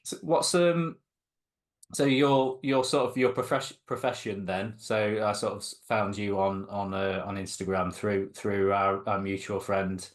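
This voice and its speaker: A man's voice: lowish voice, steady tone, a little slow. A man hosting a podcast interview, asking questions hesitantly and stumbling over his words. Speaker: podcast host. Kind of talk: casual interview chat.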